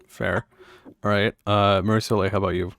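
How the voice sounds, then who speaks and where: Low-voiced young man hosting a chat. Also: normal speed, gravelly, no echo, clean studio sound. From Canada. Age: young adult. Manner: relaxed, filler-heavy, laughs easily. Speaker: podcast host. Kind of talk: casual chat.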